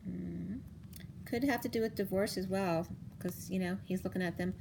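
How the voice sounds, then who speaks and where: A woman reading tarot cards for viewers in a casual, rambling way, her voice going hoarse. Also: on the low side, background noise. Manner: rambling, halting restarts. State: losing her voice. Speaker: tarot reader. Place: home room, table of cards. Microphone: camera on the cards, speaker off screen.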